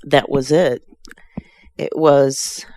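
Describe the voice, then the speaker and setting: A middle-aged woman from Chicago with an American accent, giving a halting, emotional spoken tribute to a friend. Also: a bass voice, level tone, warm tone. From Chicago, USA. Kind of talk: heartfelt spoken tribute, personal reminiscence.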